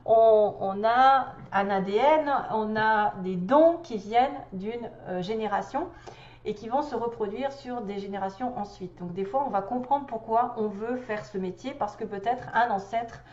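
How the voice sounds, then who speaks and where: A woman with a slight cold talks through a tarot reading in a loose, chatty way, pausing on fillers and laughing softly. Her voice sits a little high. The sound is dull and bounces around an echoey room. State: has a cold. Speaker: tarot reader.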